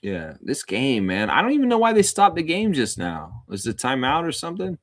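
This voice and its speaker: A man's voice: medium pitch, wildly swooping pitch, moderate pace, fairly bright tone. A man hosting a casual basketball watchalong, calling the play-by-play with informal, chatty asides. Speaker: live stream host calling play-by-play.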